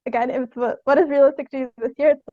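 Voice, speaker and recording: A woman's voice: sits high, steady tone, fast, no room sound, narrow, tinny audio. A young adult woman chatting informally as a theatre artist in an interview, with a giggly manner. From Canada. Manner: informal, often giggling mid-sentence. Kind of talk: casual interview chat.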